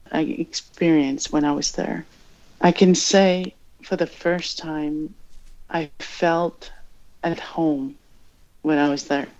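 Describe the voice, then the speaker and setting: A woman from Greenland whose first language is Greenlandic, speaking English slowly and thoughtfully, searching for words as an interview guest. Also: low-pitched, darkish tone. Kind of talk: reflective interview answers. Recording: dull lo-fi sound.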